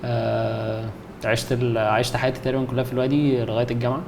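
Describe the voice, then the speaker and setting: Fast-talking young Egyptian man. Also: medium pitch, little pitch movement, background noise. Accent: Egyptian Arabic. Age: 20s. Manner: hesitant, many fillers and restarts. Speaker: guest, concept artist. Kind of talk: casual chat.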